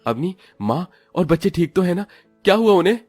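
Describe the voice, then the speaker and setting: A man's voice: sits high, sing-song, brisk, a little mellow, husky, dry recording, clean studio sound, faint music behind, full character voice. A man playing an anxious working-class village father with an earnest, questioning manner in a cartoon story.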